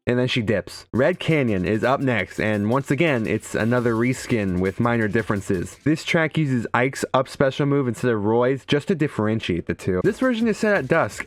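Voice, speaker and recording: A young man narrates a comedic video game review in a casual way. His voice is of average pitch and his delivery is irreverent and profane. Faint music runs behind him. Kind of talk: casual comedic scripted commentary.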